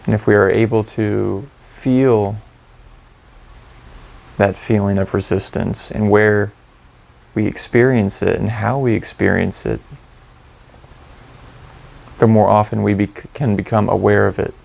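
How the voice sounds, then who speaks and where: Guy doing guided meditation, really creaky voice, pretty even tone, informal and candid. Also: on the low side, a little slow, long pauses, rough recording. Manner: informal, candid, gently instructive.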